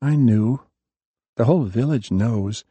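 A man voicing a worried young woman who loves the warlock, soft and gentle, in an audiobook reading. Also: mid-range voice, measured pace, slightly rough, no echo, voice pushed up. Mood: tender.

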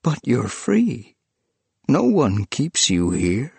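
A man's voice: medium pitch, huge pitch swings, slow, gravelly, husky, no echo, pitched above the performer's own voice, heavily acted. A man voicing a desperate young male priest who cries out in frantic, pleading outbursts. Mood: disbelieving.

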